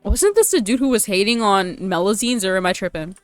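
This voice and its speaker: A woman's voice: mid-range voice, lively intonation, fairly quick, faint music behind. A woman giving casual, slangy, teasing commentary as she plays through a game. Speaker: streamer reacting to a game.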